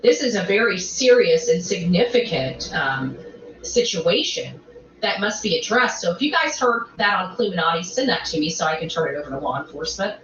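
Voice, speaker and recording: A woman with a fairly low voice rambles as an online commentator, halting and repeating herself emphatically. The sound is echoey and muffled. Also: very animated. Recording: roomy, muffled, faint background noise.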